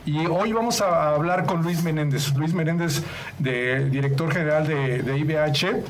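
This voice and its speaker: A man's voice: fairly high pitch, normal speed, a little hiss or hum, rough recording. A man co-hosting a sales podcast, asking the guest questions in a chatty way full of fillers. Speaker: podcast co-host. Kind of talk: podcast interview.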